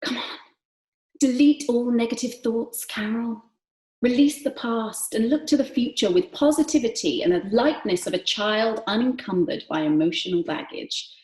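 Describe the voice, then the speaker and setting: A woman giving an expressive, dramatic literary reading that moves between hushed and emphatic delivery. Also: fairly high pitch, normal speed. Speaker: actor reading from a novel. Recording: echoey room.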